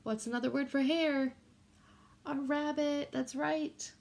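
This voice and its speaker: A woman reading a picture book aloud to young children in an expressive way, pausing to ask them questions. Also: sits high, vocal fry. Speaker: children's storybook read-aloud host.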